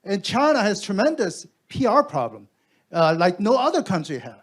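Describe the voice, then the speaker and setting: A man's voice: high-pitched, big pitch swings, normal speed, slightly rough. A man from Taiwan asking a long, rambling question from the audience at a symposium, repeating words as he goes.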